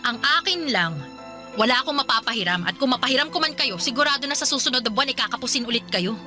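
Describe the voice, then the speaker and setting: An actress playing an exasperated older sister in a Filipino radio drama, blunt and scolding with a caring streak. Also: female voice, sits high, animated, fast. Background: music bed.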